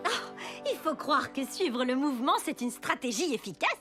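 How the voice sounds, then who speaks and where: A woman voicing an easily discouraged female pastry bandit in an animated cartoon, with expressive delivery. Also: high-pitched, moderate pace, soft background music. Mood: surprised, softened.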